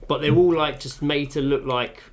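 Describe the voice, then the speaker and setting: A man co-hosting a podcast from a home kitchen, chatting casually and riffing on jokes. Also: fairly high pitch. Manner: jokey, animated, quick to riff. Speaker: podcast co-host. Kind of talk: casual chat.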